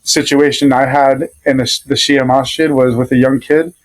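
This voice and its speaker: A man's voice: medium pitch, fairly even intonation, poor audio. Easygoing man with a Rhode Island accent. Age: adult father. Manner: easygoing, loosely rambling with many pauses. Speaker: podcast guest. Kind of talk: casual conversational storytelling.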